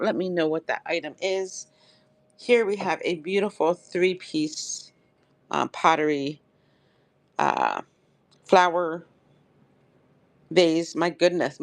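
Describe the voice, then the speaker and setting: Live-sale host, woman, dry crackly throat, chatty and upbeat, lowish voice. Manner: chatty, upbeat, addresses viewers by name. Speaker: live-stream seller. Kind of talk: live auction selling chat.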